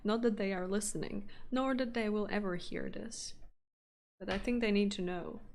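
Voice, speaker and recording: A woman's voice: mid-range voice, moderate pace, creaky, darkish tone, a little hiss or hum. A woman talks loosely and cheerfully while painting live. She rambles from thought to thought, trails off and laughs often, with a mid-range voice that sits in the middle for her sex. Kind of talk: casual chat while painting.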